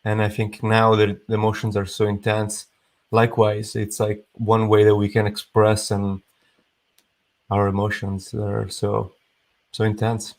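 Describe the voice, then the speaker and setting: Very monotone man. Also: lowish voice, unhurried. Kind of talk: casual spoken reflection.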